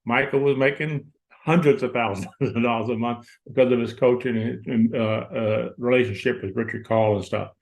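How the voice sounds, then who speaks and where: A man's voice: mid-range voice, fairly even intonation, normal speed, creaky, rough recording. Folksy, rambling Florida man. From Florida, United States. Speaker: team leader on a call. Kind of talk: casual motivational talk.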